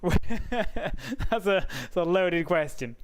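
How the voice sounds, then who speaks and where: A man's voice: high-pitched, lively intonation, drawn-out words, a little hiss or hum. Casual man streaming a racing game. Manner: rambling, self-talk while clicking through menus, frequent laughs. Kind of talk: casual live-stream commentary.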